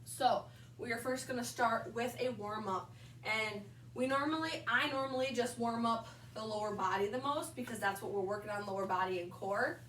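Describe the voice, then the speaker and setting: A woman's voice: fairly high pitch, a bit bright, a little hiss or hum. A young woman with a slightly nasal voice from allergies, leading a balance exercise class and calling out moves while she demonstrates. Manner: upbeat instructing cues, brief pauses between moves. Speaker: fitness instructor. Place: large indoor wellness room with wood floor. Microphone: far camera on a tripod. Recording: roomy echo, voice from far off.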